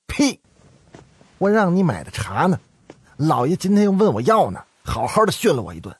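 Senior manservant for audio drama, played by a man: brash, mocking, raised pitch, very animated. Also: moderate pace, slightly rough, no echo, full character voice. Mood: scolding. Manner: brash, teasing with underlings. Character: bossy, mocking male senior servant, servile to his master.

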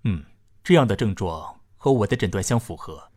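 An actor playing a calm, formal doctor in a science-fiction radio drama, speaking in a measured, polite, clinical way. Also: male voice, on the low side. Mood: clinical, patient. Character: calm, formal male doctor delivering a diagnosis.

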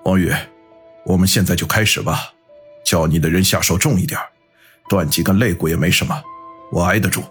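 Audiobook role: hard middle-aged underworld man as a narrator acts him, deep, gruff, heavily rasping, music underneath. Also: fairly quick, long pauses, full character voice. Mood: grim, resolute. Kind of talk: audiobook character acting.

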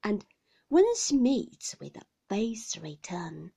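A cold, domineering husband from a classic storybook , voiced by a woman. He speaks slowly and pompously, leaning hard on his words with commanding indignation. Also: medium pitch, lively intonation, vocal fry, warm tone, slightly rough, heavily acted. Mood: indignant. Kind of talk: audiobook character acting of a classic novel.

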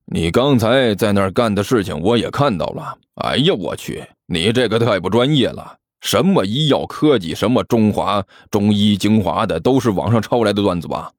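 A man voicing a sly, shameless monk con artist in an audiobook, slangy and smirking, quick to sputter when cornered. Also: mid-range voice, huge pitch swings, darkish tone, breathy edge, no room sound, studio quality, pitched below the performer's own voice, exaggerated voice. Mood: scornful, cocky.

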